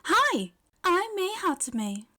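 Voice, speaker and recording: A woman's voice: extremely high pitch, sing-song, slow, bright, forceful tone, pitched above the performer's own voice, exaggerated voice. A woman voicing an eager, blunt, socially awkward young inventor girl in a story reading. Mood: bluntly friendly.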